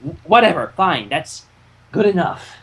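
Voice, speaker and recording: Guy doing a grumbly little cartoon dragon, impatient, kinda husky, lively. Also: fairly high pitch, measured pace, heavily acted, rough recording. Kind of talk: fan fiction character reading.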